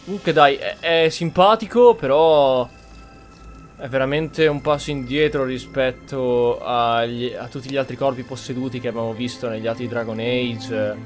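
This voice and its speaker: A man's voice: medium pitch, steady tone, moderate pace. Casual Italian man commenting on a game. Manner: informal, opinionated. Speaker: gaming streamer. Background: faint game music.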